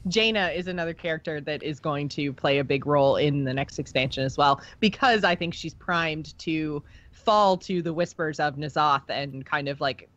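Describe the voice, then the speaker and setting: Low-pitched woman chatting, slightly dull recording. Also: fairly bright tone, dry recording. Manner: chatty, informal, enthusiastic. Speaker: podcast co-host. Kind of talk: casual discussion of video game lore.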